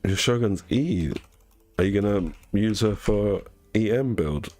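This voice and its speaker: A man's voice: lowish voice, a little slow, a little mellow. A man streaming a game, talking in a casual, rambling way with a nasal voice and frequent restarts. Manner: rambling, self-correcting, chatty. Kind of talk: casual chat while gaming.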